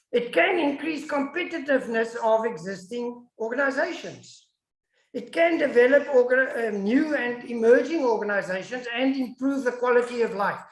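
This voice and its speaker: A South African woman, a native Afrikaans speaker, lectures as a guest academic in an online webinar. Her voice sits fairly high and she speaks emphatically. The room around her is noticeably echoey. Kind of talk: webinar lecture with audience questions. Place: indoors, online video call.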